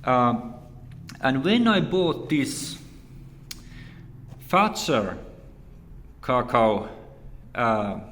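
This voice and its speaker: A man from Finland, a native Finnish speaker with a Finnish accent, giving a casual, unscripted review in a hesitant, halting and repetitive way. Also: mid-range voice, big pitch swings, fairly bright tone, a little hiss or hum. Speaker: video reviewer. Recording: echoey room with long reverb.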